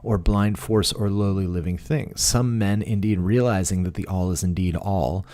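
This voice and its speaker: Deep-voiced man reading philosophy aloud. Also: fairly even intonation, moderate pace, warm tone, no echo. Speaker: audiobook reader. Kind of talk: expressive read-aloud of a philosophical text.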